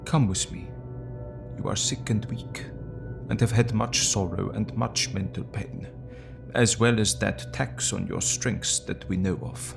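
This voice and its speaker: An older professor in an audio drama, fatherly and consoling. The voice is very gravelly and unhurried, soothing in every phrase, with clear music underneath. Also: lowish voice, fairly even intonation. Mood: gently consoling. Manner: slow, soothing, deliberate.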